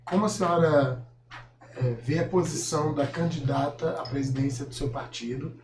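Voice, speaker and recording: A man's voice: on the high side, normal speed. A Brazilian man speaking casually as a co-host who puts listener questions to a guest. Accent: Brazilian Portuguese. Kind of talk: casual interview talk. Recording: echoey room, faint background noise.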